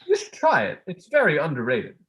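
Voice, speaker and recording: A man's voice: fairly high pitch, huge pitch swings, a little fast, a bit bright, poor audio. Young man acting a smug academic, swooping intonation. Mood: teasing, provocative. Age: young adult.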